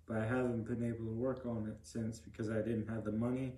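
A young man answering interview questions casually as a guest, in a halting, plain-spoken way. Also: on the low side, little pitch movement, dark tone, echoey room. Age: young adult. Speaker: podcast guest.

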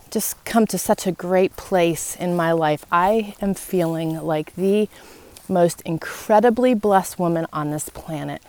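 A woman hosting a podcast, giving an earnest, reflective, encouraging motivational talk about life and faith in short phrases with pauses. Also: mid-range voice, a little mellow, no room sound. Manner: encouraging, addressing listeners directly. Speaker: podcast host. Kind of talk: personal, faith-based motivational talk to listeners. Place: outdoors in wind.

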